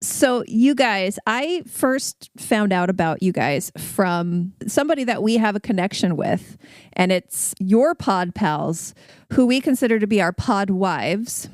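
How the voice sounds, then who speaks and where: An American woman co-hosting a podcast, chatting casually in a playful, expressive way. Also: mid-range voice, a little slow, no echo, studio quality. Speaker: podcast co-host.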